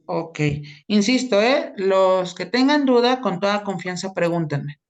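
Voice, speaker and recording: A woman teacher, giving an online lesson. She explains each idea step by step and keeps checking in with short tag questions. Her voice sits at an ordinary middle pitch. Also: expressive, unhurried. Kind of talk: online class lecture.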